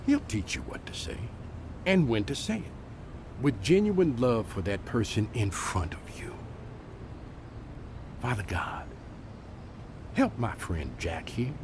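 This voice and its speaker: An actor playing a gentle, earnest evangelist mentor in an audio drama, plainspoken and patient, with measured, fatherly delivery. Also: medium pitch, lively intonation, slight background noise. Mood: gentle correction, then prayerful.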